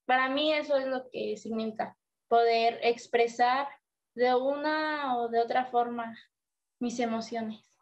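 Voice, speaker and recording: A young woman answering interview questions hesitantly, with many fillers. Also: on the high side. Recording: dull lo-fi sound.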